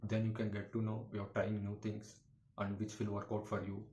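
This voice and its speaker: A man giving a motivational talk to camera as a YouTuber, in halting, repetitive, earnest phrasing. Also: on the low side, monotone, warm tone. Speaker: YouTuber, motivational content creator. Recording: echoey room with faint background noise.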